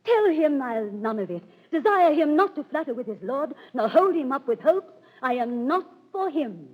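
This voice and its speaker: A theatrical lovelorn young noble in a 1930s radio play of Shakespeare. The delivery is grand and declamatory, on a dull, old recording. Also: female voice, high voice, sing-song, moderate pace. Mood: smitten, agitated.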